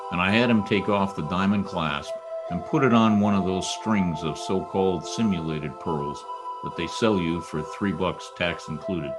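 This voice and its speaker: Man acting low-voiced hard-boiled private eye. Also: natural voice. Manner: wry, laconic, clipped. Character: hard-boiled private detective, world-weary, quietly decent. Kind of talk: old-time radio noir acting and narration.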